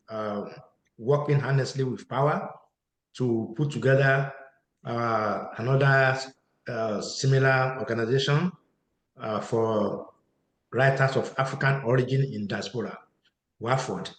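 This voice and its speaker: Man moderating a roomy online panel. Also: mid-range voice. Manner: hesitant, many fillers, warm thanks to panelists. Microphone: video call.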